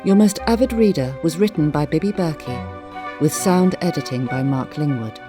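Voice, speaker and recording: A woman's voice: sits low, animated, normal speed. A woman announcer reading scripted cast and production credits. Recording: music under the voice.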